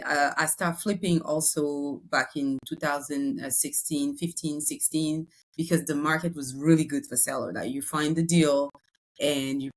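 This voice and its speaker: Low-voiced French-accented woman. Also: poor audio. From Congo, raised in France. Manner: chatty, many fillers and restarts. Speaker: real estate broker. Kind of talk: podcast interview.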